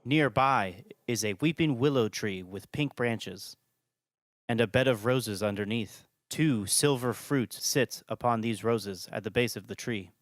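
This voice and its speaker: A man's voice: medium pitch, unhurried, dry recording. A man hosting and narrating a scripted audio drama podcast.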